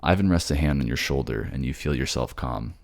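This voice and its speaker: A man's voice: a bass voice, animated, brisk, vocal fry, darkish tone. A man narrating a fantasy drama in the second person, with a dramatic storytelling delivery.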